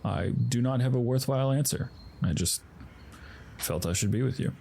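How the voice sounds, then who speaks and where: Man playing a solemn, gravelly warrior spirit. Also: mid-range voice, moderate pace, warm tone, slight background noise, close to an everyday voice. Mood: grave, concerned. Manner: formal, stiff, earnest speech. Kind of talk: audio drama acting.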